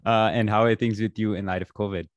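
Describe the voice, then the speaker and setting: A man's voice: fairly low pitch, flat delivery, fast talker, no echo, lo-fi sound. A man hosting an interview, reading a polished formal introduction and asking his guest questions. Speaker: interview host.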